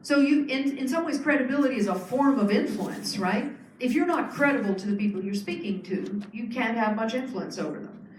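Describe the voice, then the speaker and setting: A woman's voice: fairly high pitch, animated, a little fast, far from the mic, a little hiss or hum. A woman giving a public lecture, explaining earnestly with frequent fillers and restarts. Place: lecture hall. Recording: echoey hall, speaker off-mic.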